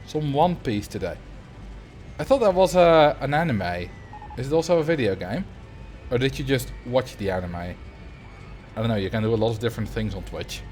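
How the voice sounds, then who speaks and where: Dutch-accented male streamer, mid pitch, talkative, greets chat and narrates his building, faint music behind. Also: animated, moderate pace, darkish tone. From Netherlands. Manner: chatty, greets viewers, thinks aloud while building. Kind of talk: casual livestream commentary.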